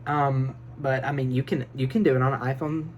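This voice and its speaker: A man giving advice in a casual phone vlog from his bedroom, talking nervously and quickly, rambling with lots of fillers and restarts. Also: medium pitch, steady tone, darkish tone, a little hiss or hum. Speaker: vlogger. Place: small bedroom. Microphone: phone built-in mic, no microphone.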